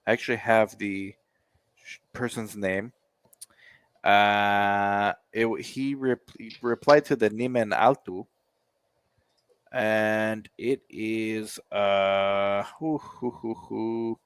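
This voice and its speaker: Dude rambling and cracking sarcastic jokes, lowish voice, dry close sound. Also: male voice, steady tone, slow, smooth, no room sound. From United States. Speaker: co-host reacting to music. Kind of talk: casual reaction chat.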